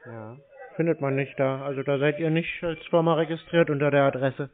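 A man's voice: on the high side, fairly even intonation, brisk. A man in a casual phone conversation, blunt and skeptical, persistently questioning the caller. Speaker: scam-baiting call recipient. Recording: narrow tinny phone-like audio.

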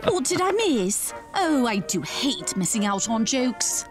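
Eager hostess played by a woman, period audio drama: fussing, higher-pitched, music underneath. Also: sing-song, a little slow.

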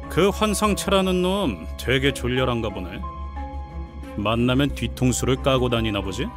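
Actor as a brash, mocking brawler, mid-pitched. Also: male voice, animated, unhurried, long pauses, music in the background, lightly acted. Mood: scornful. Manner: rough, mocking, blunt. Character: brash, scornful young brawler. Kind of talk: video game voice acting.

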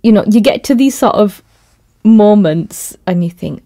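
Theatre woman talking slow and thoughtful, mellow voice, lots of ums. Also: mid-range voice, lively intonation. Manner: measured, thoughtful, with fillers. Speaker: theatre maker and youth worker. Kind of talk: conversational podcast chat.

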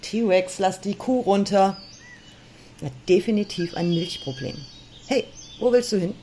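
A woman's voice: mid-range voice, measured pace, warm tone, slight background noise, lightly acted. A woman acting a bossy, teasing and curious Eve in a comedic audio drama. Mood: mocking. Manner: sassy, teasing.

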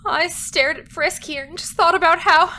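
A woman's voice: very high-pitched, fairly even intonation, moderate pace, bright, forceful tone. A woman playing a hysterical, bitter doctor in an audio drama, wailing and sobbing melodramatically. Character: hysterical, bitter female doctor mourning her marriage.

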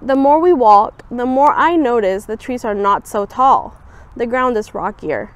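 A young woman volunteer reading a children's picture book aloud outdoors, giving the quoted lines a lively, expressive lift. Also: a little high, a little slow. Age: young adult. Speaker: student volunteer reader. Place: outdoors on a campus bench.

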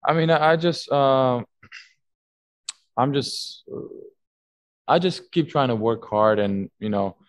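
A young man, a basketball player, answering postgame press questions haltingly, full of fillers and restarts, at a table mic in a team media room. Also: mid-range voice, no room sound. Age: young adult. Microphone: table mic on stand.

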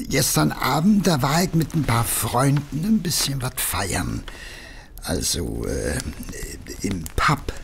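An actor playing a humble, nervous working-class messenger with a Berlin accent, rambling and hesitant in colloquial speech, in an audio drama. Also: male voice, mid-range voice, gravelly, slight background noise. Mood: rambling, sheepish. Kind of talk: German audio drama acting.

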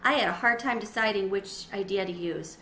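A woman's voice: medium pitch, brisk, pushed and bright. A woman voicing an earnest, imaginative young schoolboy in a children's storybook, plain-spoken. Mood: matter-of-fact. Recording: roomy echo, faint background noise.

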